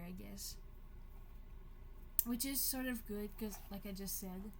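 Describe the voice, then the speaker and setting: A woman in her early twenties talking to her camera unscripted, halting and chewing her words. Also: mid-range voice, a little mellow, slight background noise, rough recording. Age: 20s. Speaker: vlogger. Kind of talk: unscripted casual monologue to camera.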